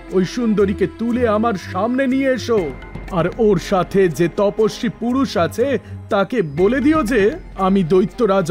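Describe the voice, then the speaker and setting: A man voicing an arrogant, lustful demon king in an animated myth, with an imperious, declamatory manner. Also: high voice. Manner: slow, imperious, declamatory delivery. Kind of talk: animated mythology story acting.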